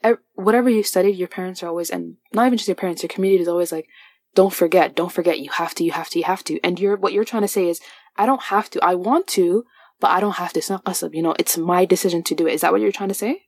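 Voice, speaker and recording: A woman's voice: fairly low pitch, fast, breathy edge, dry recording. A young woman hosting a casual group discussion. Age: young adult. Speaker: podcast host.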